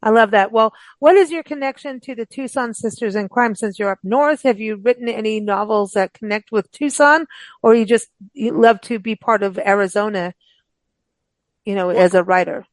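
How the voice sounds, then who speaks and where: A woman's voice: medium pitch, moderate pace, no room sound. A woman hosting a books show, talking in a casual, rambling, informal way as she interviews guests. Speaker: host of a books radio show.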